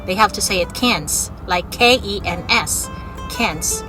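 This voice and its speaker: Chatty Filipina vlogger over music. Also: female voice, medium pitch. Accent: Filipino, with some Australian. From Philippines. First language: Ilonggo. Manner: chatty, laughs often, drawn-out words. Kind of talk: casual vlog monologue. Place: home in Australia. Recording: music playing behind.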